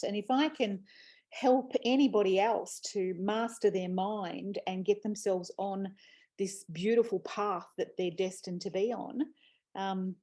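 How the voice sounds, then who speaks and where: A woman's voice: medium pitch, mellow. A woman hosting a casual, reflective conversation, talking earnestly and laughing easily. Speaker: host and author. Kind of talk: casual chat.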